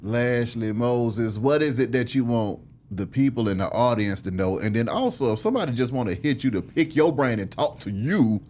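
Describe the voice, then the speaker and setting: A man's voice: mid-range voice, animated, normal speed, few pauses, a little mellow, a little hiss or hum. An American man hosting an interview in a casual, slangy and affirming way. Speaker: interview host.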